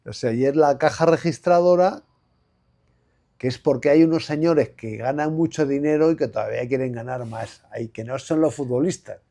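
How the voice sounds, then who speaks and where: A man's voice: mid-range voice, normal speed, warm tone. A man in his sixties from Madrid with a Madrid Spanish accent, a former athlete speaking as an interview guest in a reflective, rambling way with many fillers and restarts. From Spain. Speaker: guest, former basketball player. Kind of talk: relaxed conversational interview.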